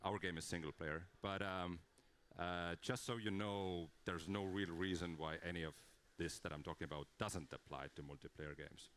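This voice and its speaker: Brief: man, conference speaker, very low voice, earnest and explanatory. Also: fairly even intonation, moderate pace, a bit bright. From Estonia. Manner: earnest, explanatory, with frequent fillers and restarts. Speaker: conference speaker, game creative director. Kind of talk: conference talk.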